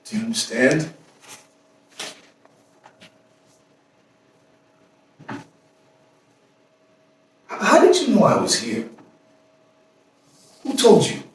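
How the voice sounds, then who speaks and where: An actor playing an anguished man haunted by obsessive love, in an intense, halting theatrical monologue. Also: a little high, wildly swooping pitch, exaggerated voice. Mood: agitated, disbelieving. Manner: intense, halting, with repetitions and sudden swells. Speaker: stage actor. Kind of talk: theatrical staged reading, dramatic monologue. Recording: echoey room from far off, faint noise.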